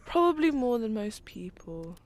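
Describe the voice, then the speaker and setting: A woman playing a weary, guilt-worn female engineer in an audio drama, speaking earnestly and measured. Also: on the high side, big pitch swings.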